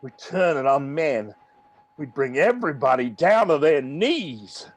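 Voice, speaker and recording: Slow, wildly swooping fanatical soldier acted by a man. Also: fairly high pitch, big pitch swings, no room sound, exaggerated voice. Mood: grandiose, excited. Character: fanatical artilleryman survivor dreaming of ruling the world. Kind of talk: radio play reading.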